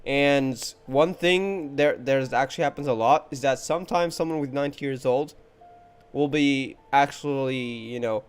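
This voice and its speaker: Chatty gaming streamer, mid-pitched voice. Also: moderate pace, clear, resonant tone. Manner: chatty, rambling, reacting aloud. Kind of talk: casual playthrough commentary. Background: game music.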